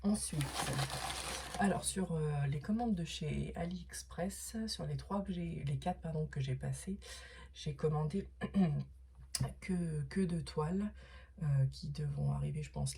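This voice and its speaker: Middle-aged woman, hoarse and mellow, hesitant casual vlogger, occasional whispers. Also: sits low, unhurried. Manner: hesitant, many fillers and restarts; drops to a whisper at times. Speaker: vlogger unboxing craft supplies. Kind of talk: casual unboxing talk.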